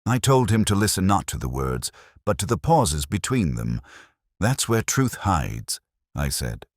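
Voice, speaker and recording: A man reads a first-person detective story in an exceptionally deep voice. His delivery is measured and brooding, with plenty of rise and fall in the intonation. The sound is dry, and the style is literary and scripted. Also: big pitch swings, no room sound. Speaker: narrator.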